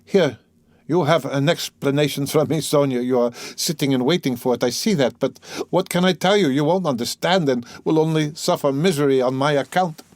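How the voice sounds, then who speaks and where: A man voicing an anguished, bitter young murderer in a dramatic audiobook reading, agitated, brooding and halting as he confesses. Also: fairly high pitch, darkish tone, no echo, pitched above the performer's own voice, lightly acted. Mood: despairing. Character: anguished, guilt-ridden young male murderer confessing, bitter and self-loathing.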